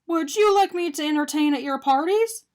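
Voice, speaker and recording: Woman voicing a very high, perky little doughnut guy. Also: normal speed, darkish tone, natural voice. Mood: hopeful, pitching ideas. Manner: exclamatory, bubbly, earnest. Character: small eager male talking doughnut, perky and emotional. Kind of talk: animated picture-book character reading.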